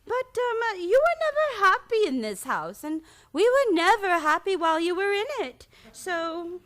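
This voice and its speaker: A woman's voice: very high-pitched, big pitch swings, moderate pace, fairly bright tone, exaggerated voice. A woman playing a prim, anxious elderly aunt in a stage-style radio play. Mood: politely firm.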